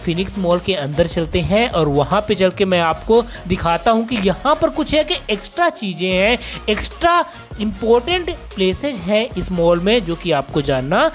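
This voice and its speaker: A man's voice: high-pitched, big pitch swings, normal speed. Man, travel vlogger, chatty tour narration, keeps rephrasing, light music underneath.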